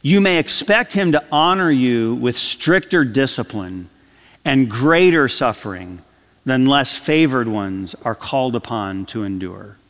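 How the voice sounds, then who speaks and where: A man preaching a sermon from the pulpit, swinging between loud emphatic lines and soft, dropped phrases. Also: medium pitch, animated, measured pace. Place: church sanctuary. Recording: long hall reverb.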